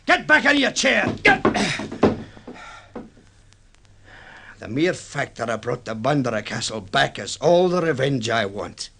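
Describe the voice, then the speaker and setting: An actor playing a weather-beaten, defiant sea captain in a radio drama, speaking forcefully and accusingly. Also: male voice, mid-range voice, big pitch swings, moderate pace, a little hiss or hum. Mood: angry, defiant. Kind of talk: 1950s radio drama acting.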